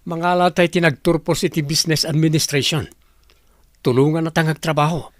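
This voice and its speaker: A man's voice: on the high side, steady tone, a little fast, no echo, studio quality, lightly acted. A man acting as a resourceful young business partner in an Ilocano radio drama, with an Ilocano accent. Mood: confident, persuasive.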